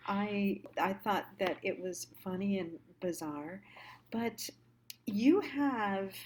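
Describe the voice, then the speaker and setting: A woman speaks in a casual, reflective monologue at a middling pitch. She muses conversationally, often halting and letting thoughts trail before picking them up again, as the host of a storytelling series. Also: darkish tone.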